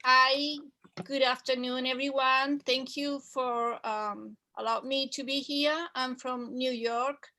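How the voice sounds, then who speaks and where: A woman's voice: high voice, fairly even intonation, slow, bright, forceful tone. A middle-aged woman realtor introducing herself to a group in an online meeting. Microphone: video call.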